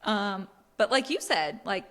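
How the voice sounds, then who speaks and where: A woman's voice: medium pitch, lively intonation, measured pace, a bit bright, big-room sound. A woman co-hosting a book podcast, explaining things in an informal, chatty way. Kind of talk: casual book discussion.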